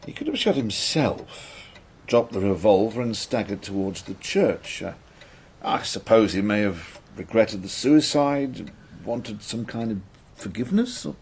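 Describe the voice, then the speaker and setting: An actor with an English accent playing a methodical, matter-of-fact police inspector in a British radio drama, husky and measured. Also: male voice, on the low side, sing-song, darkish tone, heavily acted. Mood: speculative.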